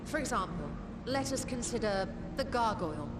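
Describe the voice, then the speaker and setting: A woman's voice: a little high, lively intonation, normal speed, heavy background noise, lightly acted. A woman playing a scholarly, confident mage in a video game, explaining things in formal, articulate diction. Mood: measured, explanatory. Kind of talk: scripted video game dialogue.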